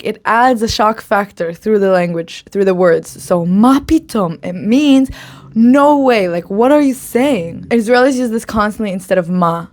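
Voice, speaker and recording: Brief: upbeat woman host, casual lesson, easy mid-range voice, chatty with frequent laughs. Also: medium pitch. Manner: drops in Hebrew phrases. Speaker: Hebrew slang teacher.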